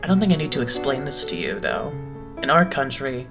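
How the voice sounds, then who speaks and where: A man voicing a composed, eloquent young male idol leader in an anime fandub, measured, polite and a little pompous. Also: mid-range voice, very animated, music in the background. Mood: lofty, measured. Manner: measured, pompous, smoothly condescending. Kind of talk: anime-style fandub voice acting.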